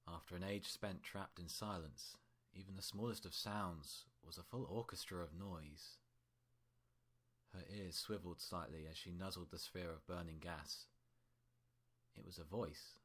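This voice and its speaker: A man's voice: low voice, fairly even intonation, a little slow, a bit bright. A man reading scripted fiction as a storyteller, in prose narration.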